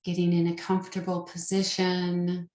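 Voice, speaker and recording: A woman leading a guided meditation as a teacher, soothing and unhurried, pausing between short phrases. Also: on the low side, completely flat delivery, darkish tone. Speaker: yoga nidra meditation teacher. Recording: roomy, echoing sound.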